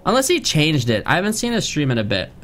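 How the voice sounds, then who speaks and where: A man's voice: on the high side, wildly swooping pitch, quick pace, faint music behind. A young man streaming a video game, chatting casually and joking with plenty of swearing, recorded on a condenser studio mic. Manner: loud, profane, joking. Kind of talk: casual gaming stream chat and roleplay.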